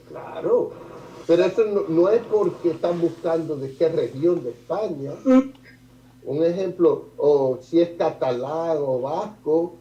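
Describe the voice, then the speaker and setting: A man's voice: fairly high pitch, warm tone. A man in a video-call debate who makes his points through examples and personal anecdotes. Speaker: debate participant. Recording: distant, dull, roomy video-call audio.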